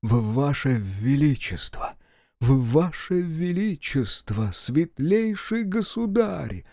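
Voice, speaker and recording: A man voicing a theatrical actor-playwright, flattering and hot-tempered, who declaims grandly in a stage play reading. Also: mid-range voice, takes it slowly, dry recording, clean studio sound. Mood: ceremonious. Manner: grandly declaiming, slight stammer at first.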